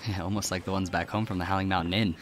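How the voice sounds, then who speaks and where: A man's voice: low voice, level tone, racing, loud music behind the voice, natural voice. A man playing an easygoing, loyal adventurer in a fantasy audio drama. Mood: fond, amused.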